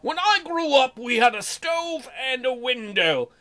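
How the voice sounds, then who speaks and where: A man voicing a nostalgic old colonist who grumbles at spoiled youngsters in a cranky, drawn-out way. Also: extremely high pitch, lively intonation, bright, forceful tone, exaggerated voice.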